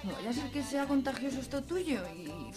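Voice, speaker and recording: A woman's voice: sits high, sing-song, normal speed, loud music behind the voice. A woman playing a dramatic, slangy young woman in an amateur radio soap, with a Spanish accent. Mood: astonished.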